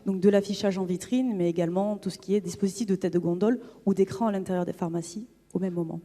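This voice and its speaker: Dark, warm woman's voice in a reverberant hall. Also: mid-range voice, steady tone, fast talker. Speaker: marketing manager presenting a case study. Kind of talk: conference presentation, semi-improvised explanation. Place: conference hall on stage.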